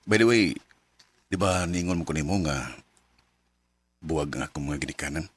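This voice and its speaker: A man's voice: extremely low pitch, moderate pace, breathy edge, dry recording. An actor playing a scheming young suitor out to avenge his mother in an emotional radio drama, with a Cebuano accent. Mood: casual, probing.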